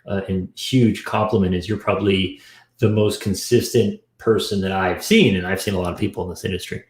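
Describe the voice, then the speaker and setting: A man's voice: low voice, fairly even intonation, brisk. A man interviewing a guest in casual podcast chat, recorded with an echoey room sound.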